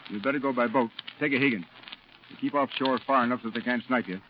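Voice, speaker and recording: An actor playing a calm, fatherly marine colonel in a 1940s radio drama, giving orders with measured authority. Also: medium pitch, background noise, close to an everyday voice. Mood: calm, cautioning. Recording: muffled 1940s radio recording.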